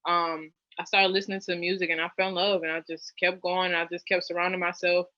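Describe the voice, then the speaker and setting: A woman's voice: fairly quick, no room sound. Chatty young woman, dull dry recording. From New Orleans, US South. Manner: chatty, many fillers, animated rises in pitch. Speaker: guest. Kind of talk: casual interview chat.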